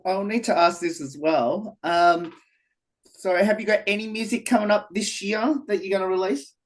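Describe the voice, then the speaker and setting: A woman's voice: mid-range voice, moderate pace. Friendly Australian woman interviewer. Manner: brief, friendly questions. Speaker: radio interviewer. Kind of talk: interview questions. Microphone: video call.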